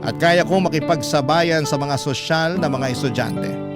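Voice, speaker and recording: A male radio host reads a first-person confessional story. His voice sits a little high, with an earnest, confiding, dramatic delivery. Prominent plucked guitar music plays behind him. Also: moderate pace. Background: music bed with plucked guitar.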